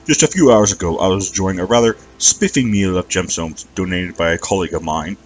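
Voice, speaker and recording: A man's voice: deep voice, a little fast. A man voicing a large, polite male dragon in a story, with a British accent and a pompous, flowery manner. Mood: genteel, grateful.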